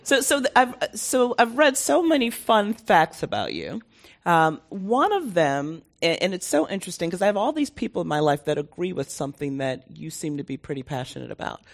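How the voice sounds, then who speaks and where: A woman's voice: on the low side, very animated, brisk, creaky. A woman moderating a casual live interview before an audience, enthusiastic and given to repeating phrases for emphasis.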